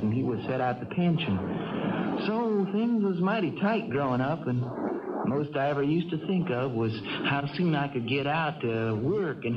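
An actor playing a humble, troubled young ballplayer with a hesitant rural Tennessee drawl in a 1970s radio drama. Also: male voice, medium pitch, lightly acted. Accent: rural Tennessee Southern. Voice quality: folksy, hesitant country drawl. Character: earnest, humble young country baseball player, troubled. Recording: dull, very noisy old radio recording.

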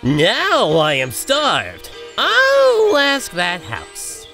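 A man's voice: sits high, wildly swooping pitch, extremely slow, gravelly, sharp tone, exaggerated voice. A man voicing a meek, hungry cartoon rabbit who turns into a superhero, with a stammering, polite manner. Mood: pleading. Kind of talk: comic dub voice acting. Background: music.

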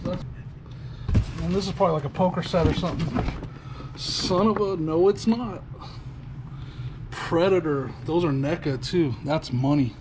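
A middle-aged man with a slightly raised pitch chats upbeat as he sorts through boxes, thinking aloud about what each item is worth. He is recorded on a head-mounted action camera inside a metal storage unit. Loud noise runs under his voice. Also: normal speed, long pauses. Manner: chatty, upbeat, thinking aloud while sorting items. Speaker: storage locker reseller. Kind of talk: casual unboxing commentary.

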